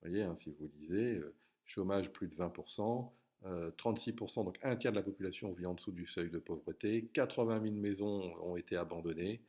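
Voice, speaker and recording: A man's voice: low voice, a little mellow, breathy edge. A man teaching a recorded geography lesson, hesitant, with many fillers and restarts.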